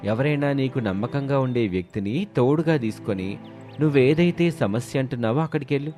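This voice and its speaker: A man playing a sly, smooth-talking village drinker in an animated moral story. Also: medium pitch, brisk, faint music behind, natural voice. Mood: smooth, reassuring. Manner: wheedling, scheming, reassuring.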